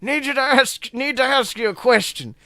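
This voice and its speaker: A man's voice: extremely high pitch, normal speed, bright, forceful tone, dry recording, clean studio sound, voice pushed up, heavily acted. A man voicing a flamboyant, cheerful old headmaster who wants to be goth, in an exclamatory comic reading. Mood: flustered, eager.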